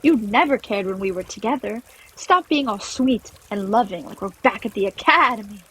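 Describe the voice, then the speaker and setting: A woman playing a bashful, insecure, emotional young adventurer in a fantasy audio drama, speaking in a halting way. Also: medium pitch, animated, slightly rough, full character voice, poor audio. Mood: angry.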